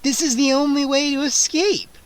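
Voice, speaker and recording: A man voicing a hungry teenage girl vampire who talks in a slow, hissing whisper. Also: very high-pitched, voice pushed up, low-quality recording. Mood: teasing. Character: hungry female teen vampire, sly and teasing.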